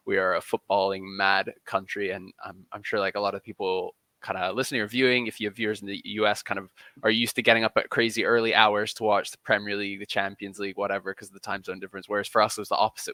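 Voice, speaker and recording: Low, bright-voiced man chatting as guest. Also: dry recording. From Kenya. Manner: chatty, self-deprecating, informal. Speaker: podcast guest, football journalist. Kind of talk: casual, conversational interview answers.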